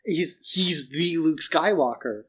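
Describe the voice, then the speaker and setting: A man's voice: a little high, slow pace, fairly bright tone, slightly rough. A man co-hosting a podcast, musing informally about films and often dropping "like" into his sentences. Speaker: podcast co-host. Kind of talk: casual film discussion.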